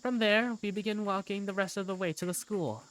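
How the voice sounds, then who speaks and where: A woman's voice: medium pitch, fairly quick, rough recording. A woman reading scripted first-person story narration for a fan-dubbed game.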